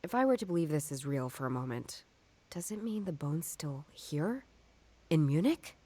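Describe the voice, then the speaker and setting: Skeptical lady detective, noir game inner monologue, lowish voice, wry and stubborn. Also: expressive, normal speed, warm tone. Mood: musing, skeptical. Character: stubborn, skeptical woman detective, puzzling things out.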